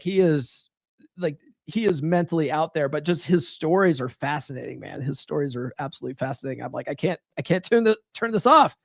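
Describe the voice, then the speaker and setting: A man with a fairly high voice runs a podcast monologue in a loose, profane, rambling way. He leans on fillers and keeps tossing in "right?" to the listener. The sound is dry and close, with almost no room around it. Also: dry recording. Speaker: podcast host. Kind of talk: casual live-stream monologue.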